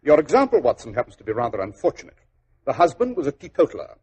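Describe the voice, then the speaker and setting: An actor playing a self-assured, philosophical detective with a precise, wry manner, in 1940s radio drama style. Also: male voice, fairly high pitch, huge pitch swings, quick pace, warm tone, husky, dull audio, full character voice. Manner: incisive, precise, pedantic. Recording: old 1940s radio recording.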